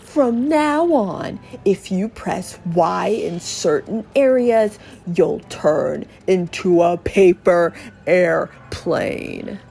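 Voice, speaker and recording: A woman voicing a gleeful, evil cursed creature from a video game, taunting and gloating with drawn-out cackles. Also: on the low side, lively intonation, vocal fry, a little mellow, faint music behind, voice pushed down, heavily acted. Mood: sinister, gleeful. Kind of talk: dramatic video game dialogue reading.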